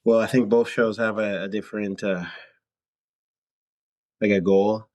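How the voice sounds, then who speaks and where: A man's voice: fairly low pitch, flat delivery, measured pace, a little mellow, no echo. A man from Toronto, talking as an interview guest in a conversational, reflective way and often checking in with the listener. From Toronto, Canada. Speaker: podcast guest, singer-songwriter.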